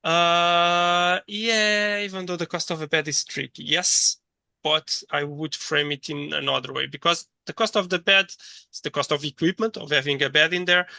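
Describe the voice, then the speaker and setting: Bright, dry-voiced man lecturing, thinking aloud. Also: a little high, fairly even intonation, normal speed, vocal fry, no room sound. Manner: hesitant, thinking aloud with repeated words. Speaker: course lecturer. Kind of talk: interactive online teaching, reading chat replies.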